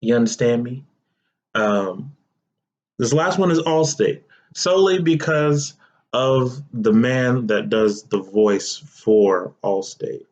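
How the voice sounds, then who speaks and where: Young male podcast host, relaxed and chatty, slow delivery, slightly muffled audio. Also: mid-range voice. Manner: relaxed, conversational, asks listeners directly. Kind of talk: casual solo podcast talk.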